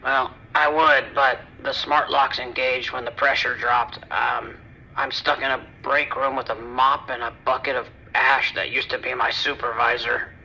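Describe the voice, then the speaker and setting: A man's voice: mid-range voice, level tone, measured pace, bright, forceful tone, heavily acted. An actor playing a trapped, sardonic working man making a bitterly resigned emergency call in an audio drama, through a phone filter. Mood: tense, bitter. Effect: phone or radio filter. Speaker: emergency caller. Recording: dull, band-limited emergency phone line.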